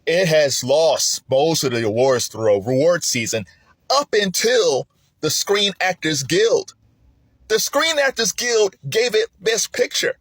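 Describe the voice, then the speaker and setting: A man talks through his film awards picks in a loose, animated way, his voice jumping up when he's excited and sinking to a near mutter when he's thinking it over. His tone is slightly husky, and he laughs often. The recording is dry and close, with no room sound. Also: unhurried, no echo, poor audio. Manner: animated, chatty, laughs often, swings between excited highs and low muttering. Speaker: film commentator. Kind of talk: casual spoken commentary.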